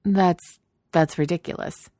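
A woman's voice: on the low side, steady tone, measured pace, dark tone, no room sound, studio quality, pitched above the performer's own voice, lightly acted. A woman reading a story aloud, voicing a flustered, guilty young man in her own voice.

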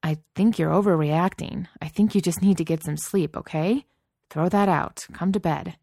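A woman's voice: lowish voice, moderate pace, creaky, mellow, no echo, clean studio sound. A woman voicing a dismissive, condescending young man who talks down to his partner in a placating tone.

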